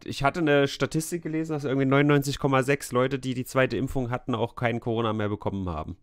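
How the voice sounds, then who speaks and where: A man co-hosting a podcast, teasing his partner and laughing between jokes. His voice sits at an ordinary middle pitch. The sound is dry and close, with no room around it. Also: steady tone, fast, dry recording. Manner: joking, teasing, laughs often. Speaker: podcast co-host. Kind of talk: casual podcast banter.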